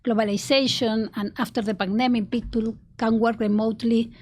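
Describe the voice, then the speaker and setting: A woman's voice: on the high side, flat delivery, a little fast. A woman from Argentina with an Argentine Spanish accent, a native Spanish speaker, giving earnest interview answers with many restarts. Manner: earnest, with frequent restarts and soft laughs. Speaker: marketing executive guest.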